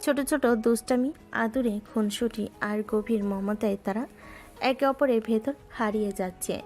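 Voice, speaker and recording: A woman narrating a romantic audio story in a gentle, scripted storytelling style. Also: a little high, moderate pace. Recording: music bed underneath.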